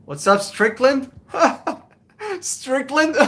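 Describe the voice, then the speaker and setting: A man's voice: extremely high pitch, animated, very slow, bright, forceful tone. A young man from Russia, hosting a casual live Q&A about buying cars, blunt and chuckling as he swears. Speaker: car buying advisor, livestream host. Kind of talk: live-stream Q&A with chat.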